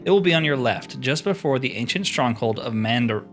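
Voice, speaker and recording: A self-assured fantasy adventurer, read out by a man playing a game, boastful and chatty like a seasoned relic hunter. He speaks at a middling pitch with music underneath. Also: animated, a little fast, a bit bright, lightly acted. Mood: brisk, confident. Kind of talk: reading fantasy game dialogue aloud.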